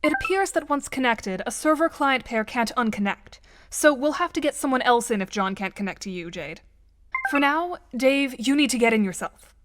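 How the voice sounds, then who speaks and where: Woman as high-voiced, wry, articulate gamer. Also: expressive, normal speed, noisy background, lightly acted. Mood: measured, analytical. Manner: precise, formal, wry. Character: dry-witted, articulate, composed young woman gamer.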